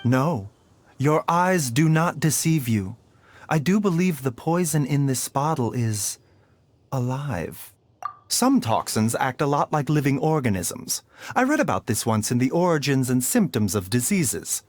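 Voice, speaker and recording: A man playing a composed, learned doctor in a video game, speaking formally in measured explanations. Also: mid-range voice, lively intonation, no room sound, close to an everyday voice. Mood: calm explaining.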